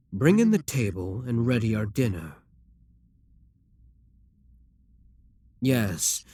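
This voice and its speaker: A man voicing a possessive, scheming demon king who talks to the listener in a calm, coaxing, self-assured way. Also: medium pitch, no room sound. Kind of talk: audio roleplay addressed to the listener.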